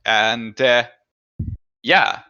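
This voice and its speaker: A man recapping a tabletop game session as game master in a conversational, halting way, pausing mid-phrase. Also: mid-range voice, pushed and bright, smooth, muffled recording.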